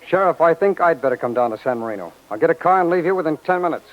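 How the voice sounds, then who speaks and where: An actor playing a decisive federal special agent in a radio crime drama, terse and official. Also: male voice, a little high, lively intonation, racing, natural voice. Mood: businesslike. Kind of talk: 1940s-50s radio crime drama acting. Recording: very noisy, dull old radio recording.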